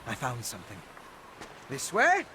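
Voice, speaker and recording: A man's voice: mid-range voice, wildly swooping pitch, unhurried, pushed and bright, a little hiss or hum, heavily acted. A man voicing a nervous, scholarly vampire in a video game, speaking in a wry, courteous, articulate way. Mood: intent, curious. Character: polite, nervous, scholarly male vampire investigator. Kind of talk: video game character acting.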